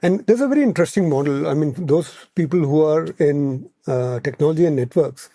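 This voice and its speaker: Indian guy as podcast expert, soft mellow tone, explains stuff step by step, really dry recording. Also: a little high, lively intonation, a little fast, no room sound. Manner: explanatory, listing points, often ending on "right?". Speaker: expert podcast guest and advisor.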